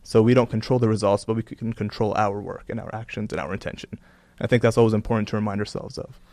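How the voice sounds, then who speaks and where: A man's voice: on the low side, steady tone, fast, darkish tone, dry recording. A man who grew up in Kuwait and Canada, speaking earnestly and reflectively as an interview guest, with many "you know" fillers. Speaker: guest, religious content creator. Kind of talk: casual interview talk.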